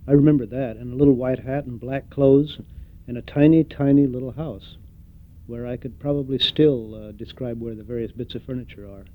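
An older man giving an oral history interview, reflective and hesitant, pausing often with fillers. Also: medium pitch, steady tone. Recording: tinny phone-like recording.

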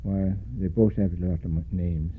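An older man from Denmark with a Danish accent, recalling his life in an oral history interview, pausing often and spelling out names letter by letter. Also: low-pitched, steady tone, husky. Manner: slow, spells out names letter by letter, many hesitations. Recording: tinny lo-fi recording with faint hiss.

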